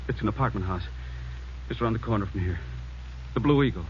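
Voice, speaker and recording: An actor playing an evasive, frightened small-time crook in a 1940s radio crime drama, defensive and stammering under pressure. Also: male voice, fairly low pitch, fast, natural voice. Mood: frightened, pleading. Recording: muffled, noisy 1940s radio recording.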